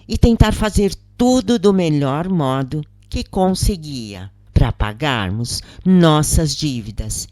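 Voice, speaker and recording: A narrator with a Brazilian accent reads a scripted first-person story in a measured, expressive way with deliberate pauses. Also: medium pitch, dry recording, studio quality.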